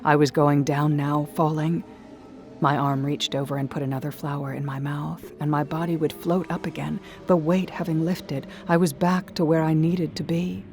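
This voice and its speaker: Hushed creaky-voiced woman narrating horror. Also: low voice, little pitch movement, moderate pace. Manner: hushed, dreamy, slipping into whispers. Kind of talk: scripted first-person horror narration. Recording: soft music behind.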